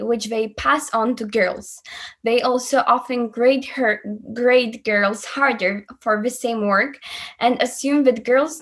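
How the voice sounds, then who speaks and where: Young woman from Poland, slow careful delivery, slightly high voice, student presenter. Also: fairly bright tone. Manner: slow and careful, with small restarts. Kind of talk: group presentation.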